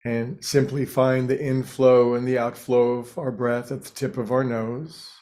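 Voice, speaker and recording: A man with a very even, level delivery leads a guided meditation as its teacher. He is calm and unhurried, setting out short phrases with space between them. His pitch sits in a middle range and moves very little.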